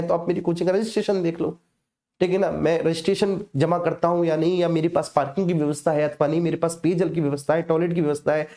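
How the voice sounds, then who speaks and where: Mellow North Indian man teaching a class. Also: a little high. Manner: colloquial, didactic, checks in with repeated tag phrases. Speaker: coaching teacher. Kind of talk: live online exam lecture with personal anecdotes.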